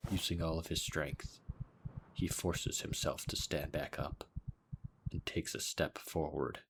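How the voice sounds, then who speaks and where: A man reading a scripted story recap as a narrator, in a deadpan, somber way. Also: a bass voice, unhurried, gravelly, noisy background. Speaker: video essay narrator.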